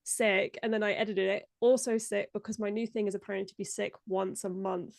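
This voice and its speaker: A woman's voice: mid-range voice, little pitch movement, fairly quick, vocal fry, dry recording, studio quality. A young woman, a video editor guesting on a live stream, chatting casually and laughing often while sounding stuffed up from a cold. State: congested, stuffed up.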